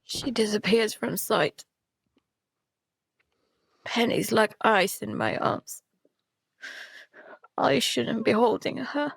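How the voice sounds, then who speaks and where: Scared guilty girl for a radio play, high voice, slow n halting, really raw. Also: lively intonation, vocal fry, breathy edge, no room sound, exaggerated voice. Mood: hushed, haunted. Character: frightened, guilt-stricken girl narrating her family's ordeal. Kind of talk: audio drama acting and first-person narration.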